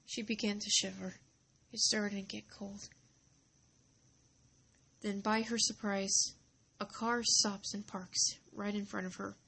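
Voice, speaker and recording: A woman narrating a story informally, halting and deliberate, with dramatic pauses between short phrases. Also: mid-range voice, a bit bright, slight background noise.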